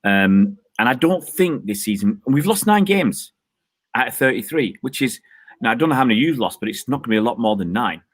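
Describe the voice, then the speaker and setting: A man's voice: mid-range voice, animated, a little fast, no room sound. A man hosting a casual online chat, talking in a rambling, joking way with many fillers from an outdoor balcony. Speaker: host.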